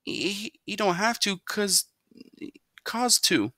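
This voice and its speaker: A man playing an evasive teenage boy, raised pitch, stammering excuses, bright storybook voice. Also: animated, takes it slowly, no echo, studio quality, heavily acted. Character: sulky teenage boy caught out.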